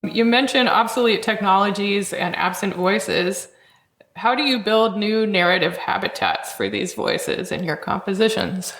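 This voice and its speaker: A woman's voice: mid-range voice, measured pace, a bit bright. A woman hosting an interview, asking thoughtful questions. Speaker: interviewer, podcast host. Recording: roomy, echoing sound.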